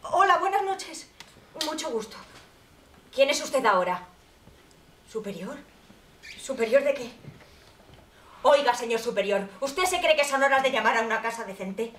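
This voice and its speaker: An actress from playing a poor, lonely, half-mad old woman who pleads slowly on the phone, turning between bitterness and tears. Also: a little high, animated, a bit bright, echoey room, distant mic, slight background noise. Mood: bitter, lonely. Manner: slow, pleading, swinging between bitter and tearful. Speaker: actress in a monologue. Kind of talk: stage monologue.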